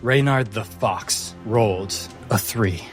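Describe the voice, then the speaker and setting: A man narrating a tabletop fantasy game as game master, dramatic and suspenseful, with deliberate emphasis. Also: lowish voice. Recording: loud music behind voice.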